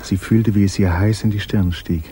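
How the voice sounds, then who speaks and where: Deep, mellow man narrating an old radio drama. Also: fairly even intonation, normal speed. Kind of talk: literary narration in a 1950s German radio drama. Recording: hissy 1950s radio recording.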